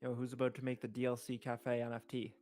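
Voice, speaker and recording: A young adult man co-hosting a casual podcast, reacting in an informal, expressive and exclamatory way. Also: mid-range voice, quick pace. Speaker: podcast co-host. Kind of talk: casual chat, reacting and bantering.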